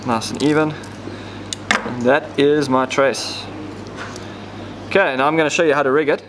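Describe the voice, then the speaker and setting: Relaxed man demonstrating tackle. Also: a little high, normal speed, noisy background. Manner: relaxed, explains step by step with frequent ums. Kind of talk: casual how-to demonstration. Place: indoor tackle shop counter.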